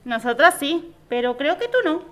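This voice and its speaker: Actress playing a mom who just moved in, wary but teasing, really high voice, audio drama. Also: female voice, moderate pace, natural voice. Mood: guarded. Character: guarded but teasing young mother, new neighbour.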